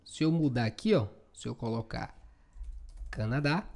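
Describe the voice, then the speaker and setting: A man's voice: medium pitch, lively intonation, normal speed, creaky, a little mellow. A Brazilian man giving an informal, chatty coding tutorial, often checking in with short tag questions. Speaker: programming instructor.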